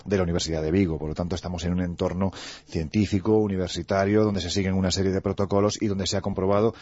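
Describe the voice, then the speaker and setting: Fast-talking man on lo-fi radio. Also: low-pitched. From Andalusia. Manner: animated, jokey, frequent fillers. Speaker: radio contributor on mysteries. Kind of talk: lively radio chat and storytelling.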